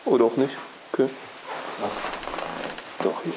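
A man's voice: medium pitch, animated, unhurried, dull audio, background noise. A man giving casual, on-the-spot commentary as he explores inside a derelict building. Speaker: urban explorer and presenter.

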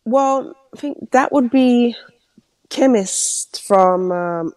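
A woman's voice: a little high, extremely slow, darkish tone, dry recording, poor audio. Dry, close-miked woman from London. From London, England. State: unwell. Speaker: guest singer. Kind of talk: casual interview chat.

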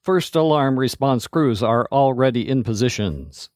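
A man voicing a powerful commander of forest rangers in a storybook reading, giving measured orders. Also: medium pitch, animated, darkish tone, no echo, studio quality, lightly acted. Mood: commanding. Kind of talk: storybook character voice.

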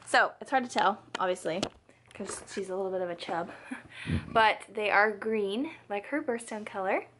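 A woman's voice: mid-range voice, moderate pace, fairly bright tone. A woman in her twenties chatting casually to camera at home, in a rambling, hesitant way. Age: 20s. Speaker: vlogger. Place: indoors at home. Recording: clear background noise, home room.

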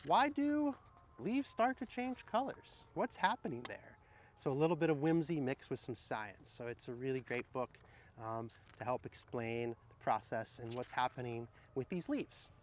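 A middle-aged man speaking outdoors, sharing picture books in a gentle, explanatory way and addressing viewers directly. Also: mid-range voice, lively intonation, normal speed, a little hiss or hum. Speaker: horticulturist presenting children's books. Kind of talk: picture-book read-aloud and casual book recommendations. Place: outdoors under trees in an arboretum garden.

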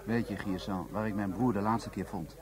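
A man's voice: lowish voice, steady tone, normal speed, a crowd in the background, natural voice. An actor playing a sombre, determined Japanese man working with police in a radio play.

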